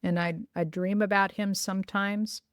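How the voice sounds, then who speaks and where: A woman's voice: mid-range voice, little pitch movement, normal speed, clean tone, dry recording, studio quality. A woman hosting a podcast, chatting casually and telling personal stories with enthusiasm. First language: bilingual in Greek. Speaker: podcast host. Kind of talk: casual interview chat.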